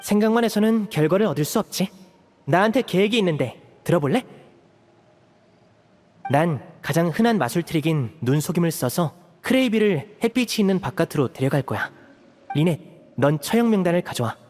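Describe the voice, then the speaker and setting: Composed young magician, lightly pitched. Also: male voice, expressive, fairly quick, darkish tone, long reverb tail. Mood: composed, resolute. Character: composed young male magician, caring leader. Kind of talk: Korean game voice acting.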